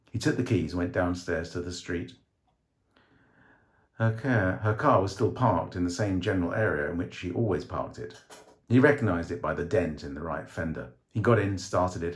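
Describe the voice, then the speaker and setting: A man reading a short story aloud as a dramatic scripted narration. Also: low-pitched.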